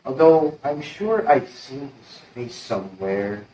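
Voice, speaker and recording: A man's voice: medium pitch, very animated, warm tone, slight background noise. Teenage boy as a formal butler, echoey room. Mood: deferential. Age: teens. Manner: polite, measured announcing. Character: formal, deferential household butler. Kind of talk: amateur stage-play acting. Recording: roomy, distant mic, music behind.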